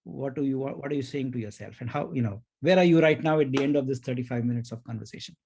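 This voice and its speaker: Man, Indian accent, soft mellow tone, podcast host, effusive and reflective, conversational. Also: mid-range voice, quick pace, slight background noise. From India (grew up in Mumbai).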